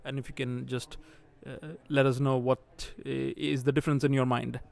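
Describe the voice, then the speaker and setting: A man's voice: medium pitch, little pitch movement, moderate pace, gravelly, darkish tone, a little hiss or hum. A man interviewing a conference speaker, hesitant with many fillers.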